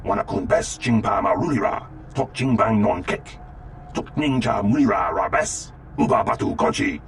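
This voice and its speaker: An actor playing a gruff, gravelly male alien who speaks an invented tongue in a video game. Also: on the low side, lively intonation, normal speed, a little hiss or hum. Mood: gruff, insistent. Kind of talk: video game character acting. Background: music.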